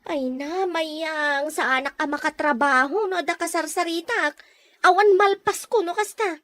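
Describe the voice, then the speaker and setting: Woman playing high-pitched, house-proud Ilocano villager. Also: a little slow, fairly bright tone, no room sound. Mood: busy, dismissive. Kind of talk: Filipino audio drama dialogue.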